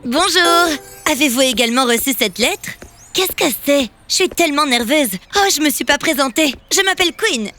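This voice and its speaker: A woman voicing a sweet, excitable young girl in a children's cartoon. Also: high-pitched, normal speed. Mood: shy, nervous. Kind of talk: dubbed children's cartoon acting. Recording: loud children's music behind the voice.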